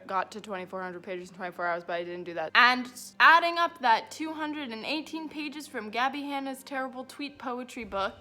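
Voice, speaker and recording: A woman's voice: medium pitch, sharp tone. A young woman vlogger chatting casually in a self-mocking, playfully dramatic way. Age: young adult. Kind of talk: casual reading vlog chat.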